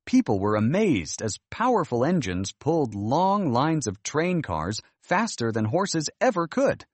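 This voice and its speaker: A man reading a scripted children's story aloud as an animated, expressive narrator. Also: fairly high pitch, a little slow, no room sound.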